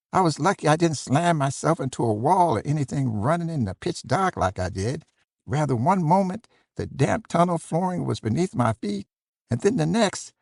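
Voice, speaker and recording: A man playing a haunted survivor, giving a measured, grave first-person horror narration in a gravelly, husky voice. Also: mid-range voice, a little mellow, dry recording, clean studio sound, full character voice. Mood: haunted, uneasy. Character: haunted male survivor recounting escape in analog horror.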